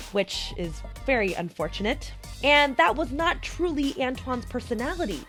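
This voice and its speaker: A young American woman speaks in a fairly high voice over music. She delivers a prepared motivational talk, explaining her points and putting them straight to the listener. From United States, small town in Colorado. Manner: direct address to listener, explanatory. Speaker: podcast host.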